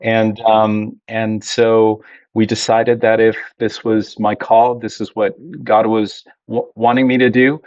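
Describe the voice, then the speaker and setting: A middle-aged man from Ecuador, a pastor being interviewed, telling his story in a measured, reflective way. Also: on the low side, completely flat delivery, a little mellow, no room sound, lo-fi sound. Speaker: Spanish ministry pastor, guest. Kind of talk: conversational interview.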